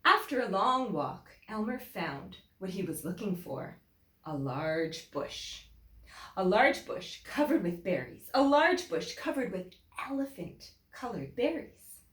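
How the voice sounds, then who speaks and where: A woman teacher reading a children's picture book aloud in an expressive, deliberate way, with emphatic phrasing for young listeners. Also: medium pitch. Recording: echoey room.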